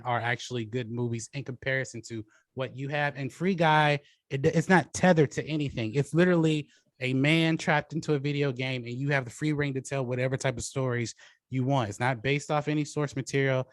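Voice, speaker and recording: A man's voice: on the high side, no room sound. A man hosting a casual debate show, talking through his judging of the match. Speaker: host and judge of a debate show.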